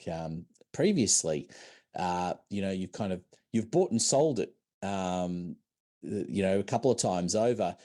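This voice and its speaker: A man's voice: deep voice, dark tone. A man hosting a business podcast, asking loose, rambling questions in a casual way. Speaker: podcast host.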